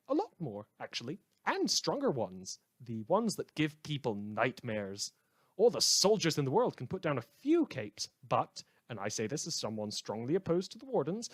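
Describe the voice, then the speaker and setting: A man's voice: fairly high pitch, dry recording, full character voice. A man playing an opinionated, darkly comic radio host in an apocalyptic world, delivering a scripted monologue in a theatrical, wry manner. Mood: wry, dismissive. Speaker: radio host character in a fan audio drama.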